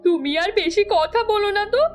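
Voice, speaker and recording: A woman's voice: very high voice, moderate pace, soft background music. A woman voicing a middle-aged mother in a horror cartoon, fretful, dramatic and insistent.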